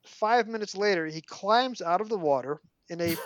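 A man's voice: sits high, moderate pace, no echo, lo-fi sound. A man with a scratchy voice and a Brooklyn accent, hosting a podcast chat and reading passages aloud from a book. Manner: animated storyteller, mock dramatic. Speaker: podcast host.

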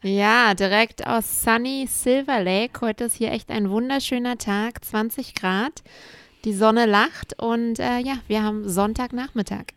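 A woman co-hosting a podcast from home, talking in a relaxed, conversational way with quick asides. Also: fairly high pitch, clean tone, no echo. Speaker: podcast co-host. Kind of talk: casual chat. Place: home in a city neighborhood.

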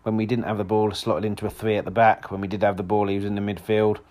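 Hoarse man chatting football. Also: on the low side, little pitch movement, fast, darkish tone. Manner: rambling, frequent restarts and fillers. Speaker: football podcast host. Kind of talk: casual solo podcast chat.

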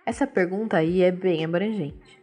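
Woman speaking in measured, steady tones. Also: lowish voice, soft background music. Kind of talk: interview answers voiced in translation.

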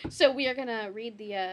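A woman's voice: a little high, noisy background. A woman hosting a podcast and narrating, moving between casual chat and read stage directions.

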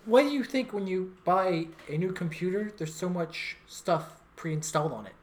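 A woman's voice: fairly low pitch, animated, normal speed, a little hiss or hum. A woman co-hosting a podcast, chatting casually and giving her opinions in a blunt, sardonic manner. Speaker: podcast co-host.

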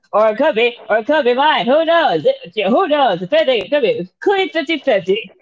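A woman's voice: fairly high pitch, big pitch swings, moderate pace. Bantering woman on a dull lo-fi recording. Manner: laughing, crude banter. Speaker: podcast co-host. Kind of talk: casual chat.

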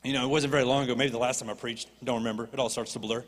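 A man preaching a sermon as a pastor, animated and rising to impassioned pleading. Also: mid-range voice, racing. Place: church stage. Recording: long reverb of a church hall.

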